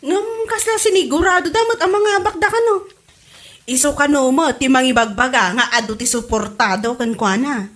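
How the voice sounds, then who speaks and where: A woman's voice: high voice, normal speed, fairly bright tone. A woman acting a gleeful, mocking gossip in a comedy radio drama. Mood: eager. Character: gleeful, mocking gossip who taunts election losers.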